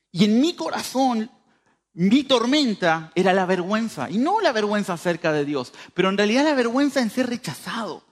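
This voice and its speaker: Higher-voiced Uruguayan preacher with a northern border accent. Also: male voice. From northern Uruguay, near the Brazilian border. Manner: informal, addresses listeners directly, repeats phrases for emphasis. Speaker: preacher at a youth church meeting. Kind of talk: conversational sermon.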